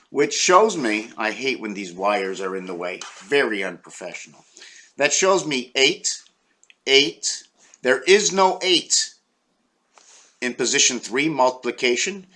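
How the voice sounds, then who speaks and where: A man's voice: medium pitch, pushed and bright. A man from Quebec explaining number patterns to a small online audience in a meandering, musing way, often counting aloud. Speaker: video creator. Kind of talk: rambling numerology explanation.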